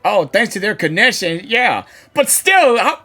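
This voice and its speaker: A woman streamer giving casual live reactions to a game, halting and repeating words as she thinks aloud. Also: mid-range voice, very animated, pushed and bright, soft background music.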